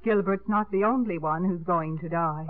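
A woman's voice: lowish voice, normal speed, lightly acted. An actress playing a wry, exasperated mother with a dry, sardonic manner in a 1950s radio comedy-drama. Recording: dry, narrow-band old radio recording.

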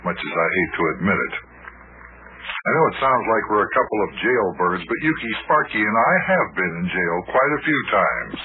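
A man playing an easygoing, measured detective in an old-time radio serial, reasonable and slightly sheepish. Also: fairly low pitch, animated, a little hiss or hum, lightly acted. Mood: reasonable, rueful. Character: steady, easygoing big male detective, storyteller and partner. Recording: narrow-band old radio recording.

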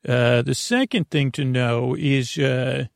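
Creaky gravelly guy doing a rambly sleep podcast, halting, wanders off on tangents, really dry close sound. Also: medium pitch, dry recording. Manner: meandering, halting, trailing off into tangents. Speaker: podcast host. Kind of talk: rambling bedtime podcast monologue.

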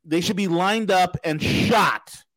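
A man hosting a podcast, talking in loud, profane, mocking rants. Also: high voice, takes it slowly, no echo, low-quality recording. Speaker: podcast host. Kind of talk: casual talk show banter.

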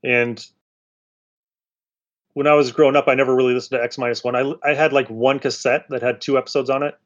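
A man's voice: mid-range voice, level tone, fairly quick, highs cut off. An American man hosting a podcast in casual, rambling conversation. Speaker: podcast host. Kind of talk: casual chat.

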